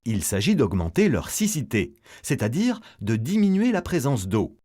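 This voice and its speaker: A man's voice: medium pitch, huge pitch swings, moderate pace, dark tone. A man reading a scripted educational narration as the narrator of an explainer film, setting things out in a didactic, step-by-step way.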